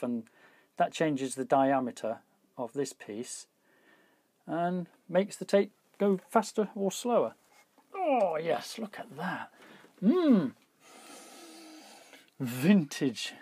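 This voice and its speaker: A man with a slightly husky voice gives casual hands-on repair commentary, thinking aloud informally as he works. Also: on the high side, darkish tone, no room sound. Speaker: repair hobbyist presenting a video.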